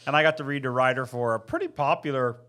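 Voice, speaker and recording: A man's voice: medium pitch, fast, a bit bright. Rambling man in casual group chat. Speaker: podcast panelist.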